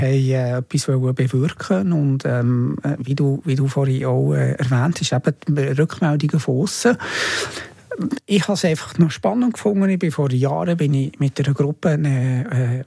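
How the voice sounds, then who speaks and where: Male interview guest with Swiss German accent, dark husky tone, creaky, halting, reminiscing delivery. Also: medium pitch, dry recording, clean studio sound. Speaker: interview guest, former radio team member. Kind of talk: casual reminiscing.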